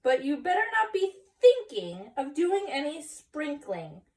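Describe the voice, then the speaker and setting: Firm, lively mother cat voiced by a woman. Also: sits high, unhurried, distant mic, voice pushed up. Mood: warning. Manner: firm, admonishing. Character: firm, scolding but kindly mother cat.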